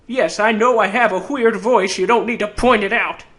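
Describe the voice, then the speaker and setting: A man voicing a tiny, old, sarcastic treasure-hunting fantasy game creature with a weird character voice, cocky, teasing and folksy in his pitch as an announcer. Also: moderate pace, a bit bright. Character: tiny old sarcastic male keen-folk treasure hunter.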